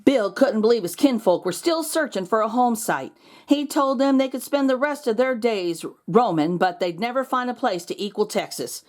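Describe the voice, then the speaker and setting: A woman reading a children's picture book aloud as host, expressive, drawing out words for drama. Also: fairly high pitch, normal speed. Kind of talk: animated storybook read-aloud for children.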